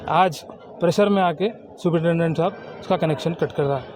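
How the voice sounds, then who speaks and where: A man's voice: fairly high pitch, brisk, darkish tone. A man making an indignant, insistent protest statement, repeating his phrases, recorded outdoors in a city. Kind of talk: spoken protest statement to camera. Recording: clearly noisy outdoor urban recording.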